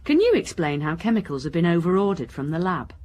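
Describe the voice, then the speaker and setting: A woman playing a wry, probing police inspector in a radio crime drama, with dry, brisk questioning. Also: on the low side, very animated, darkish tone. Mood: businesslike.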